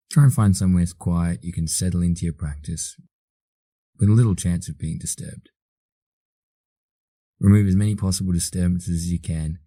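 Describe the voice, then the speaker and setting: Very deep, dark-voiced Australian man guiding meditation. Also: no echo. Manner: slow, soothing, with long pauses. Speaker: guided meditation instructor. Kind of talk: guided meditation narration.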